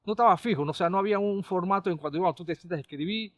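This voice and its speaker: Panamanian guy in his middle years, kinda high voice, rambly storytelling, restarts a lot. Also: rapid-fire, no room sound, studio quality. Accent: Panamanian Spanish. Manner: rambling, many restarts and repeated words. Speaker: caricaturist and comedy writer, guest. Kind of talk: relaxed conversational storytelling.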